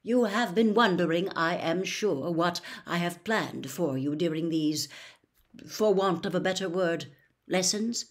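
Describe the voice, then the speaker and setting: A woman voicing a placid, courteous old male wizard headmaster in a storybook, with measured, businesslike delivery. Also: lowish voice, natural voice. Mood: calm, measured. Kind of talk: storybook character reading.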